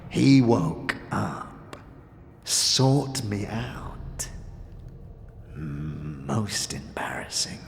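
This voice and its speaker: A sly, gravelly old crime boss, speaking slowly in a long reverberant hall. He is deliberate and mock-courteous, a figure from a fantasy audio drama. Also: fairly low pitch, sing-song, a little hiss or hum, exaggerated voice. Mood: quiet menace.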